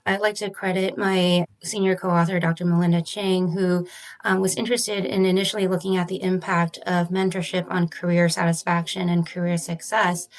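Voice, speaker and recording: Woman, physician researcher, low pitch, flat intonation, measured, earnest, interview answers. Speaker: ophthalmologist, study author.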